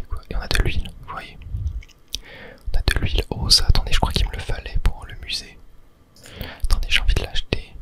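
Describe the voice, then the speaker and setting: Whispering French-language ASMR gamer. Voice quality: breathy unvoiced whisper. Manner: rambling, self-correcting, soft mouth-sound fillers like tac and hop. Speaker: gaming video host. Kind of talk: ASMR whispered let's play commentary.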